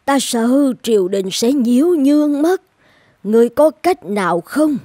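An elderly empress dowager from a cartoon folk tale. She speaks very slowly and solemnly, in a grave, anxious voice with regal weight. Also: high voice, animated, dark tone, dry recording, clean studio sound. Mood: worried. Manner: slow, solemn, worried.

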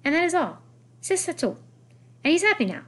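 A woman's voice: very high voice, sing-song, moderate pace, fairly bright tone, pitched above the performer's own voice, exaggerated voice. A woman voicing a blunt, imperious old French grandmother from a children's novel, scolding with emphatic, dismissive repetition. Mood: firm, insistent. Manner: emphatic, dismissive, repeats words.

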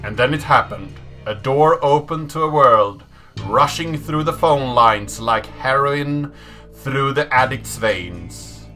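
Slow, bright-voiced man reading deliberately. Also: mid-range voice, lively intonation, faint music behind. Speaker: host of a programming channel. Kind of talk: slow dramatic reading and presenter talk. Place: small indoor room at a desk.

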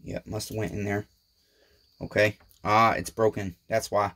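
A man in his forties with a low, husky voice hosts his repair channel from a cluttered home workshop. He talks to viewers like neighbors, folksy and rambling with plenty of fillers. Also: level tone, measured pace, bright, forceful tone. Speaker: small engine repair channel host. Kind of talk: casual rambling vlog to viewers.

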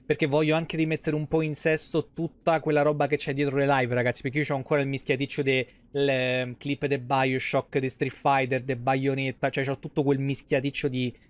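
A man's voice: mid-range voice, flat delivery, moderate pace, few pauses. A man in his thirties with a central Italian, Roman accent, giving casual live gameplay commentary in a colloquial, salty-tongued way, thinking aloud. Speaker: game streamer, host. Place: indoor streaming setup at home.

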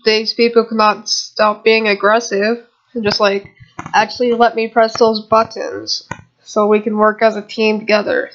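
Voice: medium pitch, little pitch movement, unhurried, fairly bright tone. Dull-sounding young-voiced gamer rambling through gameplay. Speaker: gamer narrating own gameplay video. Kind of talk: casual gameplay commentary. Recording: dull lo-fi home microphone.

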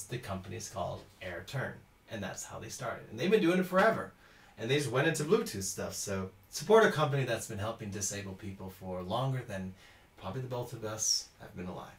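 Chatty man with a roomy echo. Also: lowish voice. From Houston, Texas. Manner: chatty, reads out viewer comments, laughs often. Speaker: cello teacher. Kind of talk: casual live-stream Q&A. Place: small indoor room at home.

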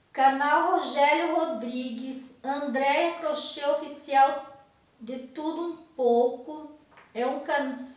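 Brazilian woman, 40s, high voice, straight-talking vlogger; very echoey, muffled room sound. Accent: Brazilian Portuguese. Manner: chatty, direct, repeats herself, talks straight to her viewers. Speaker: YouTube channel host. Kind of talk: casual chat to camera. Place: small indoor room with plants and a monitor. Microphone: camera in front at desk distance.

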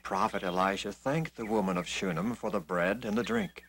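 Expressive male children's storyteller. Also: fairly low pitch, moderate pace, gravelly, slight background noise. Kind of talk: scripted children's Bible story narration.

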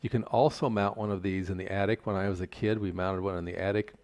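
An older man giving a measured, plain-spoken, step-by-step tutorial explanation. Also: low voice, steady tone, a little mellow. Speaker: instructor. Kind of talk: explanatory tutorial narration over slides.

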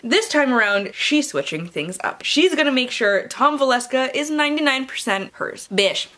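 A woman's voice: sits high, animated, normal speed, sharp tone. A young woman book vlogger, chatting casually and reading book blurbs dramatically, with playful, theatrical delivery and sarcastic asides. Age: young adult. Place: small room at home lined with bookshelves.

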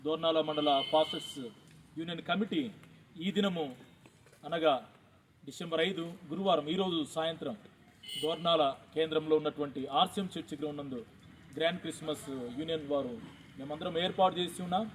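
A man's voice: fairly high pitch, fairly even intonation, fairly quick, pauses often, slight background noise. A man from a church leaders' union reading out a formal, devotional invitation, repeating its phrases in churchly language.

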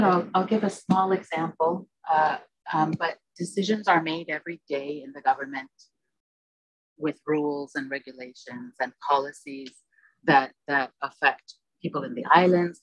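A woman's voice: low-pitched, fairly even intonation, moderate pace, dry recording, low-quality recording. Woman on a stage panel, earnest, rambles a bit, handheld mic, dry close sound. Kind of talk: panel discussion. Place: conference stage, seated on a panel.

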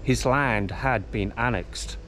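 Slow, measured man presenting a documentary. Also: mid-range voice, a bit bright, slight background noise. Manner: measured, deliberate, pausing between short phrases. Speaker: host of a history exploration vlog. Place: outdoors among derelict buildings in woodland. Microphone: camera held at arm's length.

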